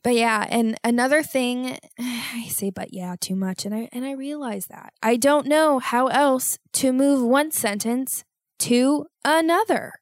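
A young woman hosting a solo podcast, talking in a rambling, self-mocking way with loud outbursts, and sounding congested. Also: a little high, unhurried, no room sound, studio quality. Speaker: podcast host.

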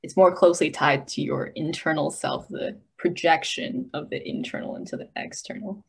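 Halting, giggly young American woman. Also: low-pitched, gravelly, breathy edge. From United States. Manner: halting, giggly, thinks aloud. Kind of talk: casual chat.

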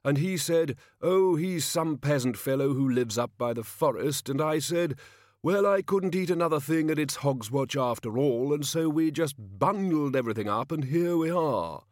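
Man putting on a hearty, overbearing king, pitched up. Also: animated, moderate pace, darkish tone, dry recording, clean studio sound, natural voice. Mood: hearty, bluff. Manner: booming, jovial, pompous upper-class bluster. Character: hearty, overbearing king, forcing his charity.

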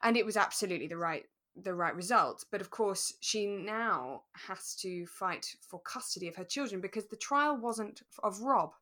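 A woman co-hosting a podcast, talking through a radio drama in a relaxed, careful, explanatory way. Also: medium pitch, moderate pace, few pauses. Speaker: podcast co-host.

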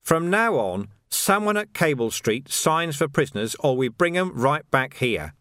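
A narrator puts on a stern middle-aged watch commander who lays down the rules in a brisk, commanding way. His pitch swings widely as he presses each point. The reading is close and dry, with no room sound. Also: big pitch swings, a bit bright, no echo. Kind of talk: audiobook character voice.

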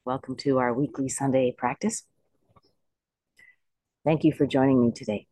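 Meditation teacher guiding slowly, dry close sound. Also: mid-range voice, steady tone, mellow, no room sound. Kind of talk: guided body scan meditation.

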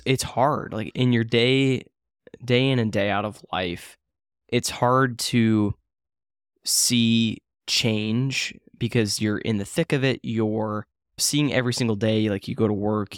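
A man's voice: on the low side, fairly even intonation, a little slow, no echo, studio quality. A man in his late twenties co-hosting a casual chat, talking in a rambling, self-deprecating way with many fillers and restarts. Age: 20s. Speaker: podcast co-host.

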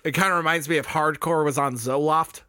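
A man's voice: on the high side, steady tone, a little fast, bright, forceful tone, no room sound. A man co-hosting a music podcast, talking loosely with lots of fillers, restarts and swearing. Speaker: podcast co-host. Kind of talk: casual music chat.

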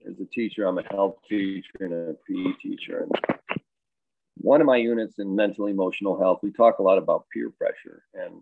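A man who coaches high school baseball and teaches health, answering interview questions in a thoughtful, rambling way with many fillers and restarts. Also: fairly quick, gravelly, no echo. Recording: dry, tinny phone-like line.

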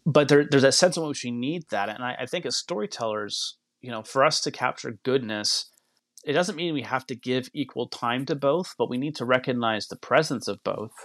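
A man's voice: medium pitch, normal speed, fairly bright tone, dry recording, clean studio sound. A man speaking as a podcast guest, thinking aloud and explaining in a reflective, conversational way.

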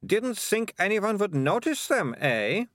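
A man voicing a bossy, lippy young girl with a sharp, accusing manner, in a storybook reading. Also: sits high, sing-song, unhurried, no echo, clean studio sound, voice pushed up, exaggerated voice.

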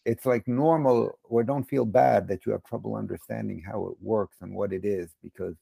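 A middle-aged man from the United States with a gravelly, husky voice, explaining casually as an interview guest and often rephrasing himself. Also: fairly low pitch, fairly even intonation, moderate pace, mellow, dry recording. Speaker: podcast guest, crypto educator and former bond trader.

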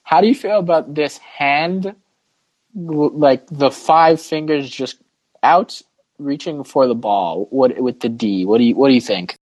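A man with a mid-pitched voice hosts a loose sports podcast chat. He is blunt and informal, tossing out questions and frank verdicts. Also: measured pace, a little mellow, low-quality recording. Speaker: podcast host.

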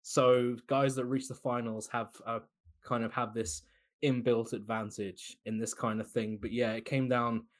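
A man talking basketball in a loose, opinionated way, pitched right in the middle of the usual range for a man. He chats freely, laughing now and then between points. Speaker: podcast guest analyst. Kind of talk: casual basketball chat.

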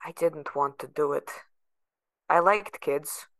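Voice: medium pitch, slow pace, fairly bright tone, no echo. An actor playing a sardonic, guarded young adult patient held in a facility, narrating in the first person with bitter sarcasm. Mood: brooding, uneasy.

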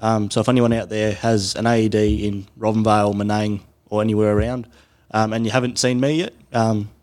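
A man's voice: on the low side, little pitch movement, brisk, a little mellow. A man with an Australian accent, a paramedic coordinator explaining things as a guest in an informal interview.

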